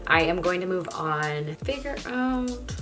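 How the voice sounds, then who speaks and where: A woman with a raspy, hoarse voice, chatting casually as she narrates her body painting. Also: lowish voice, lively intonation, unhurried, over music. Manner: chatty, rambling, laughs between thoughts. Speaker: body paint artist and vlogger.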